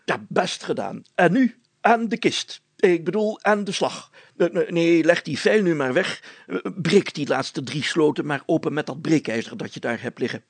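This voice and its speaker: A man's voice: on the high side, animated, normal speed, husky, no echo, pitched above the performer's own voice. A man voicing a pompous, fussy, easily flustered mayor in a storybook, sputtering and tripping over self-important words. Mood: eager, bossy. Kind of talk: storybook character acting.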